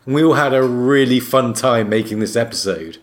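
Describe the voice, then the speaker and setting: A man's voice: medium pitch, fairly quick. Male podcast co-host, mid-range voice, conversational delivery.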